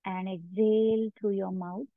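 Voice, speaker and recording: A woman guiding a meditation as a yoga instructor, giving measured instructions with long pauses between them. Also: mid-range voice, steady tone, dry recording. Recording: narrow phone-like sound, very dry.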